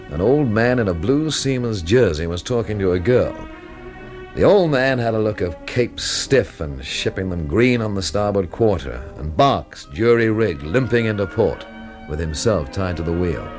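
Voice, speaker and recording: A low-voiced, cynical male investigator in a radio drama. He is dry and sardonic, with lively swings of pitch, and music runs underneath. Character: wry male investigator from London, cynical and stubborn. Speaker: lead actor and first-person narrator. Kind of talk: radio drama narration and dialogue.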